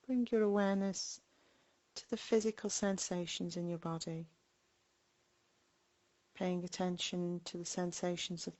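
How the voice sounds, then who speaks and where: A woman leads a guided meditation at a very slow pace. Her voice sits a little low, with a flat, even melody. She is gentle and leaves long pauses between short phrases. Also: lo-fi sound. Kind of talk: guided body scan meditation.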